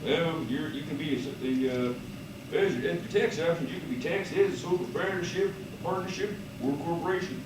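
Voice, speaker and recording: High-voiced man, very animated, playful teasing presenter, echoey distant recording. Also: brisk. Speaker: student presenter in a class puppet show. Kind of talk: informal, bantering presentation. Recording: echoey room, distant mic, noisy.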